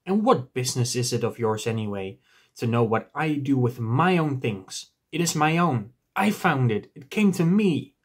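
A man's voice: mid-range voice, lively intonation, slow pace, hardly any pauses, pushed and bright, husky, heavily acted. Man voicing an angry, possessive old hobbit. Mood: angry, defiant. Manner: defiant, obstinate, indignant.

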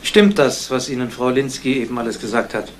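An actor playing a businesslike, questioning police detective in a 1970s radio crime play. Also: male voice, mid-range voice, animated, a little fast, close to an everyday voice. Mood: official. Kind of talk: 1970s German radio crime drama acting. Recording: slightly noisy old radio recording.

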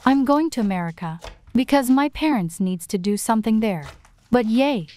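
A woman's voice: fairly high pitch, lively intonation, moderate pace, a little mellow, lightly acted. A woman playing a chatty, bubbly, apologetic teenage girl in an amateur scripted storytime drama. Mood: cheerful. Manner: chatty, eager. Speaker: voice actor in an animated storytime drama. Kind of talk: scripted amateur roleplay dialogue.

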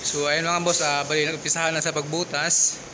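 A man's voice: fairly high pitch, level tone, a little fast, noisy background. Chatty man filming a building walkthrough. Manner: chatty, many fillers, keeps addressing viewers as "boss". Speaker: construction vlogger. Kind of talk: casual walkthrough narration. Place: indoor warehouse under construction. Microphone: handheld phone camera.

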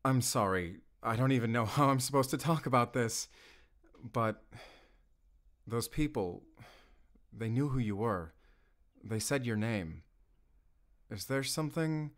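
A man playing an anxious, tender father and lover in an audio roleplay, speaking haltingly with sighs. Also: medium pitch, close to an everyday voice. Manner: halting, sighing, soft-hearted.

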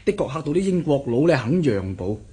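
Radio drama interpreter: chatty, self-assured male insider, animated delivery with a husky edge. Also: medium pitch, a little fast. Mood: amused, explanatory. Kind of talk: Cantonese radio drama acting.

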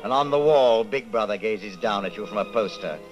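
An actor playing a solemn narrator in an old-time radio drama, telling the story theatrically. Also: male voice, on the low side, moderate pace, lo-fi sound, over music, natural voice. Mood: solemn, ominous. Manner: theatrical, second-person storytelling. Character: solemn male radio drama narrator addressing listener as protagonist.

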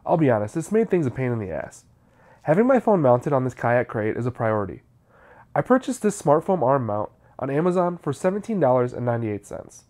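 A man's voice: mid-range voice, sing-song, brisk, a little mellow. A man, narrating a how-to video in a scripted, explanatory style.

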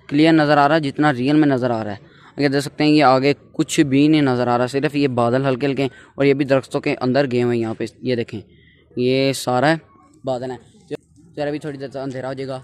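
A man's voice: mid-range voice, a little mellow. A teenage young man with a Pakistani accent, narrating a casual travel vlog into a handheld phone, often repeating himself as he addresses viewers. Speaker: vlogger. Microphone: phone at arm's length. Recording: noisy handheld phone recording, ambient sound.

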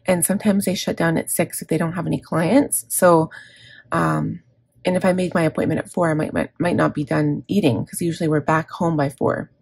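Gravelly, low-voiced woman chatting casually. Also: steady tone, brisk, darkish tone, no echo. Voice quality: gravelly, scratchy. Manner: rambling, self-correcting, candid. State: scratchy, gravelly throat from inhaler. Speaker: planner vlogger. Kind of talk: casual plan-with-me chat. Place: indoors at a desk.

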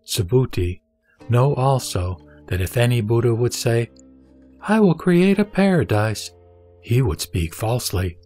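A man's voice: medium pitch, lively intonation, a little slow, darkish tone, soft background music. A man playing the Buddha in a scripture audio drama, a serene teacher speaking formally and instructively. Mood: calm teaching. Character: the Buddha, serene authoritative male teacher addressing his disciple.

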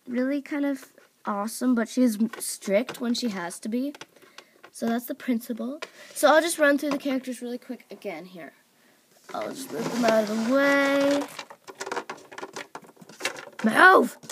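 A young woman with a somewhat high voice chatting casually and rambling as she introduces her toy cast. She fills her talk with ums and likes, recorded on a phone at home. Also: expressive, takes it slowly, long pauses, a bit bright, a little hiss or hum. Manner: rambling, filler-heavy, scolds her dog off-mic. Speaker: video creator. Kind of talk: casual chat. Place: home room at a table of toys.

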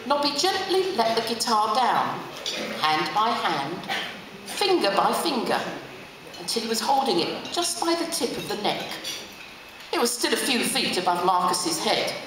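A woman's voice: a little high, measured pace. A middle-aged woman with an English accent gives a theatrical, dramatic book reading aloud to an audience. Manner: expressive, theatrical. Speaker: reader. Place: large hotel function room, on stage. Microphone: stand mic at lectern through PA, filmed from audience. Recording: very echoey hall, audience noise.

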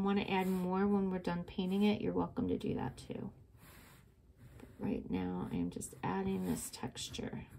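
A woman's voice: medium pitch, dark tone. A middle-aged woman teaching a step-by-step drawing lesson to children, patient and encouraging, recorded at her desk on the camera mic. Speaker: art teacher.

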